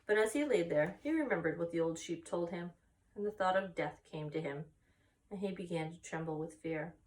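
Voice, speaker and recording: A woman's voice: on the low side, normal speed, a little mellow, far from the mic, low-quality recording. A woman reading a children's story aloud with expression as a story time narrator.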